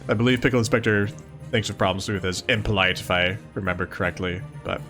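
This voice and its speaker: A man's voice: fairly low pitch, quick pace, a bit bright, soft background music. A man reading aloud and commenting casually, with an amused, exclaiming, playfully sarcastic manner. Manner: amused, exclaiming, often chuckling. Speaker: host of a let's-read series.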